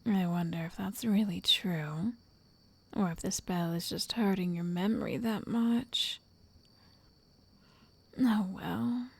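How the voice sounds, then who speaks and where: A woman voicing an obsessive, lovestruck witch in an ASMR roleplay, soft and whispery, coaxing with possessive endearments. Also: mid-range voice, slow, vocal fry, pauses often, slightly rough, full character voice. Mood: reflective.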